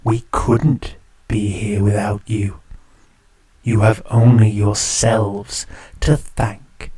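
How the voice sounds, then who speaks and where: A man's voice: lowish voice, level tone, breathy edge, exaggerated voice. A man playing a sinister whispery spirit radio host. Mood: sinister welcome. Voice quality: hushed, whispery. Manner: theatrical, drawn-out, creepily welcoming. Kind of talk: horror radio drama.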